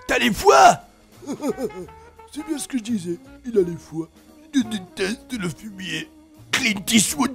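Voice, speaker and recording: A man's voice: extremely high pitch, creaky, a bit bright, voice pushed up, full character voice. A man voicing a dim-witted, blustering Wild West outlaw who taunts and bullies.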